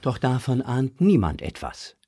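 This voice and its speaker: A man narrating a children's audio drama in an expressive, scripted storytelling style. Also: mid-range voice, unhurried, slight background noise.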